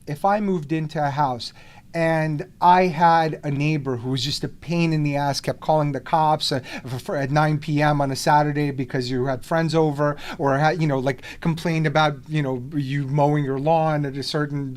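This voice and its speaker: A man's voice: fairly high pitch, steady tone, moderate pace. A man hosting a podcast, born in the Soviet Union and speaking English as a second language, talking in a rambling way with many restarts and fillers. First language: not English. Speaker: podcast host. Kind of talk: casual conversation.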